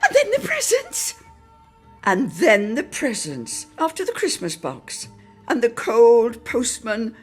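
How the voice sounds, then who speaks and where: Woman doing a theatrical poetic story reading, really slow, swoops up and down a lot. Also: fairly high pitch. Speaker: solo reader. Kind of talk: dramatic literary reading of a poetic memoir.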